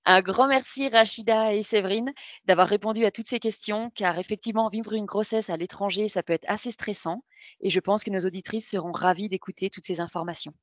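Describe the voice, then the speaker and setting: A woman's voice: medium pitch, fairly quick, no echo. Dry, dull-sounding woman podcast host. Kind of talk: scripted interview questions.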